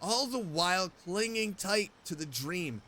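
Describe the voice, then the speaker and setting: A man streaming a game, reading story text aloud in an expressive way and reacting to it. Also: sits high, a little slow, background noise. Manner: expressive, animated.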